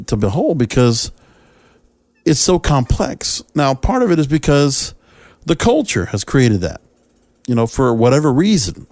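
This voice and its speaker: Male solo podcast host, medium register, rambling and halting commentary delivery, dry recording. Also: medium pitch, darkish tone, no echo.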